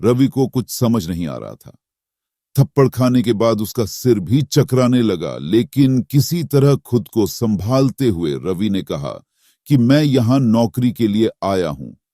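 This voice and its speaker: A man narrates a scripted horror story in a dramatic, suspenseful way. His voice is low and warm, and his intonation rises and falls in a lively way. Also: measured pace, no room sound, studio quality.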